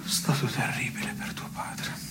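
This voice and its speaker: An actor playing a dry, probing man in an absurdist family dialogue, speaking in deliberate, mock-formal phrases in a radio stage play. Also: heavy background noise, natural voice.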